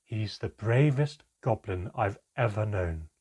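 A man voicing a loyal goblin mother in an audiobook, speaking quietly and with determination. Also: medium pitch, expressive, normal speed, husky. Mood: quiet defiance. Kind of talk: audiobook character voice.

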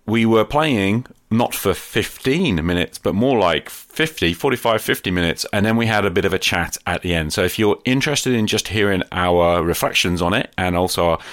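A man's voice: lowish voice, normal speed, hardly any pauses, a bit bright, no room sound, clean studio sound. A man hosting a podcast, chatting in a casual, off-the-cuff way. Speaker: podcast host and player.

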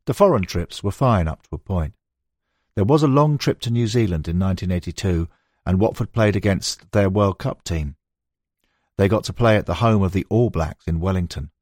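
A man's voice: sits low, animated, normal speed, no echo, clean studio sound. A man reading scripted narration as an audiobook narrator, moving between prose and quoted recollections.

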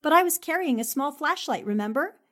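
A self-assured newspaperwoman from an old radio mystery, acted by a woman. The voice is on the high side, crisp and confrontational. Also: expressive, a little fast, fairly bright tone. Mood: confident, pointed. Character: sharp, confident newspaperwoman cornering a suspect. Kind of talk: 1940s radio drama acting.

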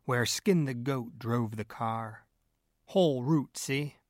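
A man voicing an excitable, scornful, bullying newspaper editor in an audiobook, with an emphatic, blustering delivery. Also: mid-range voice, slow pace, no echo, clean studio sound, close to an everyday voice. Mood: excited boasting.

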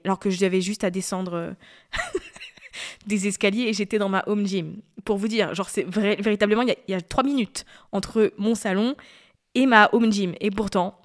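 Chatty woman in her thirties. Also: mid-range voice. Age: 30s. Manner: chatty, digressive, confiding. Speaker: podcast host. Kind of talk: casual solo podcast talk.

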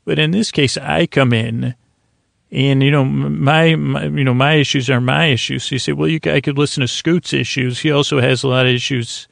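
Very creaky-voiced man rambling at bedtime. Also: medium pitch, steady tone, dry recording, studio quality. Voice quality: creaky, gravelly. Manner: halting, meandering, digressive, soothing. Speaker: podcast host. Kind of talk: rambling bedtime monologue for falling asleep.

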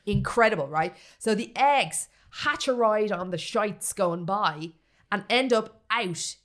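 A woman's voice: mid-range voice, animated, a little slow, a bit bright. A woman with an Irish accent, a podcast host telling a story to her co-hosts in a sweary, comic way, relishing gory details and checking in with "right?".

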